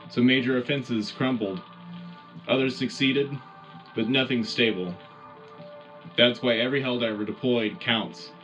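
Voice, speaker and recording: A man's voice: mid-range voice, a bit bright, lo-fi sound, lightly acted. A man playing an earnest wartime radio host, delivering scripted war news in a dramatic, punchy, rallying way.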